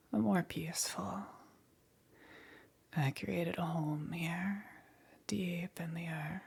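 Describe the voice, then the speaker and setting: A woman playing a powerful demon queen, gentle yet calmly menacing, in a breathy whispered ASMR roleplay with long pauses. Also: on the low side, heavily acted. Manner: slow, soft, coaxing, with long pauses.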